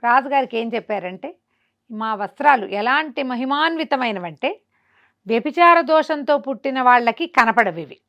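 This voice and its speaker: Female storyteller, Telugu accent, somewhat high pitch. Conversational folk-tale delivery, expressive with character dialogue. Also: normal speed, vocal fry, lo-fi sound.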